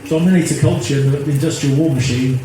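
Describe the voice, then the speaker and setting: A man's voice: on the high side, fairly even intonation, quick pace, mellow. A man in his fifties, an activist being interviewed, talking earnestly in a rambling way that repeats itself. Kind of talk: casual interview. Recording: echoey, noisy phone recording.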